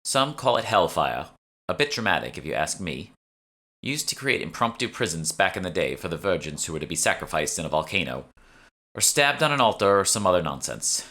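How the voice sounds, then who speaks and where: A man's voice: low-pitched, sing-song, brisk, pushed and bright, full character voice. A man voicing a brusque, irritable young woman, a know-it-all alchemist, in a fantasy audiobook, with a curt and sardonic manner.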